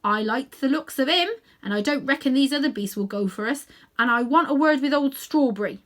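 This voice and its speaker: A woman's voice: high voice, a little fast, a bit bright, pitched above the performer's own voice. A woman voicing a good-natured working-class male cab driver with a hearty, colloquial manner in a storybook. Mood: hearty, willing.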